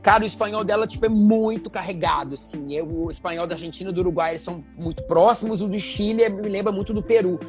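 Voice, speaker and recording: A man from Minas Gerais, Brazil, hosting a casual chat in a lively, rambling way with many fillers. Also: high voice, quick pace, few pauses, music in the background. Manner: chatty, animated, many fillers. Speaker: show host. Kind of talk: casual conversation.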